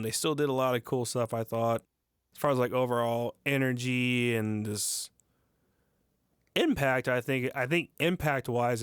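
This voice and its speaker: A man co-hosting a podcast in easygoing, agreeable casual chat, quick to laugh. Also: mid-range voice, clean tone, dry recording, studio quality. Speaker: podcast co-host.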